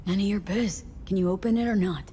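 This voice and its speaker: A woman's voice: mid-range voice, fast, dark tone, lightly acted. An actress playing a tough, blunt female mercenary in a video game, with a curt, probing manner. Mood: brusque. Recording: reverberant room, faint background noise.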